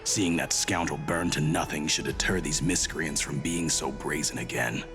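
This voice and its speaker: An actor playing a haughty, fiercely loyal imperial guard officer in a video game, pompous and scornful in formal speech. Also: male voice, very deep voice, steady tone, normal speed. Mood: proud, stern. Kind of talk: video game character acting. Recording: game music behind.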